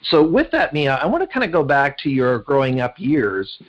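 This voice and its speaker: A man's voice: a little high, sing-song, normal speed, dull audio. A man with a Canadian accent, speaking conversationally as a podcast host and interviewer.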